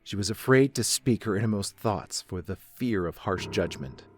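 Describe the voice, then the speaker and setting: Low-voiced male story narrator. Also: lively intonation, normal speed, no echo, faint music behind.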